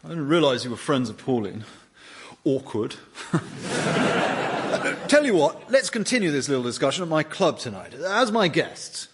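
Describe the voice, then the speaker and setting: An actor playing a fussy, polite crime boss in a sitcom, apologetic and rambling with genteel menace. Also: male voice, fairly high pitch, big pitch swings, normal speed, pauses often, slight background noise. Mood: suave, flustered. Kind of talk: studio sitcom acting.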